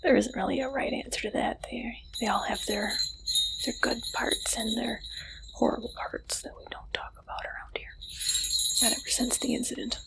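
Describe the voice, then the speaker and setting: A woman whispering in an ASMR roleplay as an interviewer, with a playful, teasing manner and soft questioning. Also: fairly high pitch, steady tone, moderate pace, vocal fry, rough recording.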